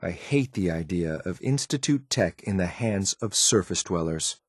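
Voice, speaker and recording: A low-pitched male synth soldier from a video game, recorded close and dry. He speaks in clipped, matter-of-fact military phrases. He sounds stern and dutiful, with disdain for whatever he finds beneath him. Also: animated, normal speed, slightly rough, no echo. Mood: contemptuous. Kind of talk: video game companion voice lines.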